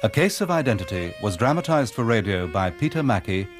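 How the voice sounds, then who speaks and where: A man's voice: on the low side, very animated, a little fast, faint music behind. A man with a British accent reading scripted credits as a radio announcer. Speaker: continuity announcer.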